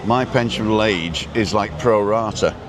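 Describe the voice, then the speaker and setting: A man's voice: fairly low pitch, moderate pace. An English man in his sixties hosting a casual live stream outdoors, chatting with lots of fillers. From England. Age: 60s. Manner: chatty, rambling, with frequent uh fillers. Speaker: host of a live walking stream. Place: outdoors on a busy street. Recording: loud crowd noise.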